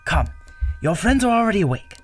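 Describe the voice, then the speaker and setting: A man's voice: sits high, very animated, breathy edge, faint music behind, heavily acted. Man as a serene, kindly monastery monk. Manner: formal, gentle, measured. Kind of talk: pulp adventure audio drama acting.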